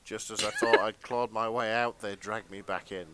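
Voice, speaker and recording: A British man co-hosting a podcast in casual comedic chat, with a deadpan delivery. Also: on the low side, normal speed, noisy background. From Britain. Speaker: podcast co-host.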